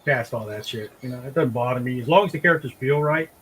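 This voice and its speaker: A man talking casually as a podcast co-host, in a halting, matter-of-fact way. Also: mid-range voice. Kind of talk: casual chat. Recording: clear background noise.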